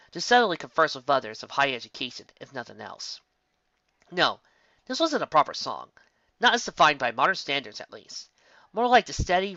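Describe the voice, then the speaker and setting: A narrator reading a fan story aloud, expressive and leaning hard into emphatic words. The tone is bright and sharp. The voice is close and dry on a dull lo-fi recording. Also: mid-range voice, dry recording.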